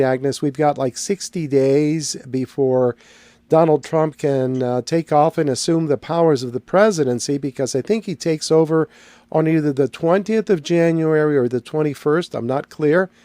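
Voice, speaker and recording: Chatty higher-voiced male host. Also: darkish tone, no echo. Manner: chatty, rambling with repeated words. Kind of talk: casual interview talk.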